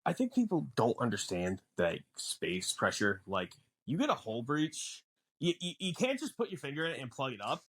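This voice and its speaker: A man co-hosting a podcast, explaining a film casually in a halting, conversational way. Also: medium pitch, wildly swooping pitch, a bit bright, breathy edge. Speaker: podcast co-host.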